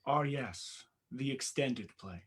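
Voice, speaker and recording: Raspy young man, modest and rambling. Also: medium pitch, expressive, takes it slowly, fairly bright tone, a little hiss or hum. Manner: modest, rambling, many fillers and restarts. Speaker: interview guest, musician. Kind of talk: casual interview chat.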